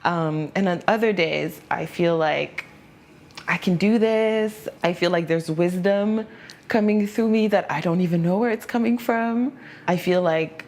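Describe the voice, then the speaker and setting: A woman in her mid twenties speaking calmly and introspectively in a documentary interview. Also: fairly low pitch. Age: mid 20s. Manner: calm, introspective.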